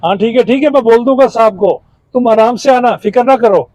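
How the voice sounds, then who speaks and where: A man acting as a sly, glib con man who flatters his victim in an amateur moral skit. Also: very high-pitched, muffled recording. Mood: false sympathy. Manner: glib, deferential to his victim, self-congratulating.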